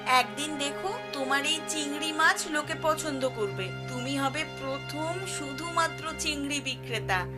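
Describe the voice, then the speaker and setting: A woman's voice: high-pitched, unhurried, over music. A woman playing a practical fisherman's wife in a cartoon, reassuring and persuasive. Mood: encouraging. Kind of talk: cartoon dialogue acting.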